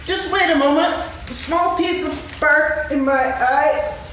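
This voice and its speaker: Very echoey hall, woman voicing storybook tiger, boastful yet nervous, high voice, slow delivery. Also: a bit bright, slight background noise. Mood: nervous stalling. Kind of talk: staged reading of a children's play.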